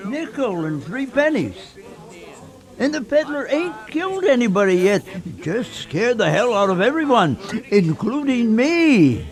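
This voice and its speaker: A man's voice: very high voice, very animated, measured pace, full character voice. A man playing an old, superstitious local in a radio play, folksy and insistent as he spins his tale. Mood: spooked, folksy. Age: older.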